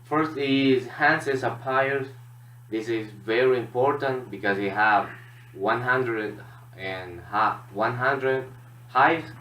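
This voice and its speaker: A man from Honduras with a Honduran Spanish accent gives a student presentation in halting, non-native English. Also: on the low side, a bit bright. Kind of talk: classroom presentation. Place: echoey room. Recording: echoey room, distant mic, background noise.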